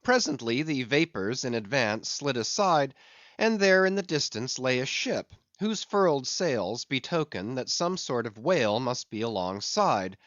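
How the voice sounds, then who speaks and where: Expressive male audiobook narrator, dry sound. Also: mid-range voice, measured pace, no room sound, muffled recording. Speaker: audiobook reader.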